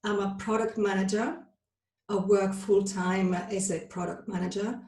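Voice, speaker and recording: A woman's voice: medium pitch, steady tone, moderate pace, a little mellow. Swiss-Italian woman, roomy distant sound. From Switzerland. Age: 40s. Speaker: guest, face yoga instructor. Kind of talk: casual podcast interview. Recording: roomy sound, voice away from the mic, faint music.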